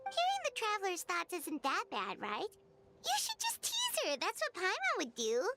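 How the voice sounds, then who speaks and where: Squeaky, perky tiny fairy companion. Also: female voice, huge pitch swings, normal speed, over loud music, exaggerated voice. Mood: teasing. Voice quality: squeaky, childlike. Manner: chirpy, speaks of herself in third person. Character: tiny childlike female fairy companion, perky, naive, blunt. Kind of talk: anime-style video game voice acting.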